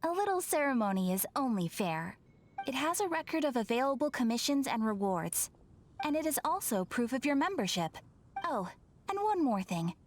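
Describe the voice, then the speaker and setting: A woman's voice: high voice, big pitch swings, normal speed, a little hiss or hum. A young woman playing a polite, welcoming, formal guild receptionist in a video game. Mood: welcoming, ceremonious. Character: courteous, cheerful female adventurers' guild receptionist. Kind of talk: scripted video game character acting. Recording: soft game music behind the voice.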